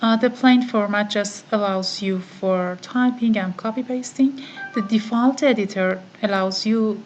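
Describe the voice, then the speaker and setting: A woman whose first language is Farsi walks through a software tutorial at an unhurried, deliberate pace, pausing often on "uh". Her voice comes through a somewhat dull computer microphone with a faint hiss behind it. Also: medium pitch. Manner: slow, methodical, with frequent "uh" pauses. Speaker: online course instructor. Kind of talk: screen-recorded software tutorial.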